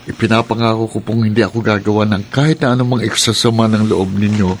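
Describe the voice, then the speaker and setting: A man's voice: lowish voice, steady tone, fast talker, a little hiss or hum, lightly acted. Man acting polite, earnest young suitor. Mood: earnest, respectful. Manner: deferential, earnest. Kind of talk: radio drama acting.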